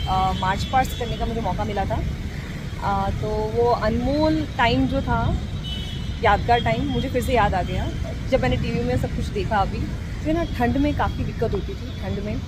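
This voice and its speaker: A young woman chats to her viewers in a relaxed vlog, telling her story earnestly and adding encouraging words. Her voice sits fairly high. Clear street and traffic noise runs behind her. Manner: chatty, earnest storytelling with motivational asides. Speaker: vlogger. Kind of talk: casual vlog chat.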